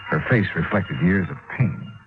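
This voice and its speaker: An actor playing a hard-boiled but courteous insurance investigator, wry and clipped, in 1950s radio detective style. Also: male voice, low voice, expressive, moderate pace. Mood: wry, narrating. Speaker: detective lead and narrator. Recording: thin, phone-like old radio recording with music.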